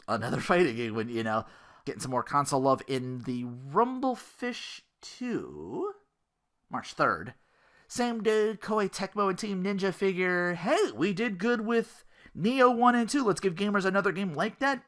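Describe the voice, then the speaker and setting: Animated man hosting a casual gaming podcast. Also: a little high. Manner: rambling, slangy, acting out imagined quotes. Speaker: podcast host.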